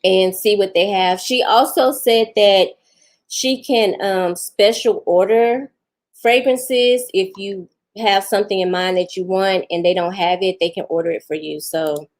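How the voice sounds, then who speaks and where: Woman, 40s, casual live-stream chat, laughs a lot, middle pitch. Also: steady tone, unhurried. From Houston, Texas. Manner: chatty, laughs often, talks back to viewers. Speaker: vlogger answering live chat.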